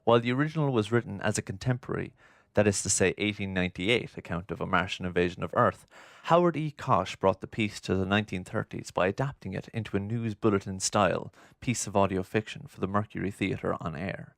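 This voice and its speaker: Man, low voice, creaky edge, scripted documentary narration, dry close recording. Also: fairly quick, fairly bright tone, no echo.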